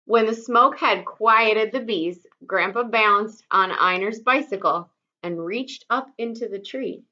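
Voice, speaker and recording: A woman's voice: mid-range voice, a little slow, few pauses, sharp tone. A woman reading a children's story aloud expressively, like a teacher, in slightly dull audio.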